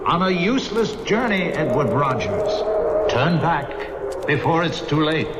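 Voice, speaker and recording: An actor playing a mysterious ghostly man who gives ominous warnings in a solemn, drawn-out way, in old-time radio horror style. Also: on the high side, sing-song. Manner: slow, drawn-out, solemn delivery. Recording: very noisy old radio recording.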